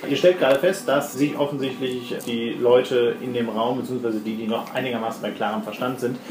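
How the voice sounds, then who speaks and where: A man running a tabletop game as game master, explaining rules and improvising scenes in a halting, explanatory way. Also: medium pitch, fairly even intonation. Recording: roomy home recording with clear noise.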